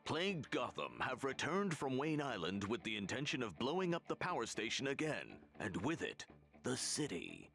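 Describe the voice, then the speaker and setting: An actor playing a polished, melodramatic TV news anchor in an animated game parody. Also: male voice, medium pitch, normal speed, over music. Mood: grave, theatrical. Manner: melodramatic announcer delivery.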